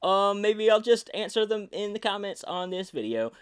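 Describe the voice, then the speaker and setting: A man's voice: high-pitched, big pitch swings, moderate pace, a bit bright, smooth. A man in his thirties hosting a podcast, telling behind-the-scenes stories casually in a hesitant, self-deprecating way. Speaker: podcast host and puppeteer.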